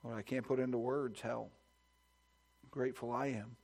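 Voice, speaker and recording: A pastor speaks from the pulpit of a church sanctuary, his voice sitting at an ordinary pitch for a man. He moves between a hushed, earnest prayer and climbing, loud, fervent preaching. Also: fairly even intonation, moderate pace, vocal fry. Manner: builds to loud, high-pitched preaching fervor; soft, hushed praying voice. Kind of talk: sermon with scripture reading and prayer.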